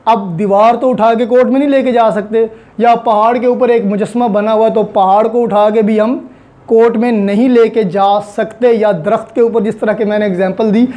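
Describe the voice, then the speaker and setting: Mellow-voiced Pakistani woman lecturing. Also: a little high. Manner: didactic, mixes in English legal terms. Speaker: law lecturer. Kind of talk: explanatory online lecture with everyday examples.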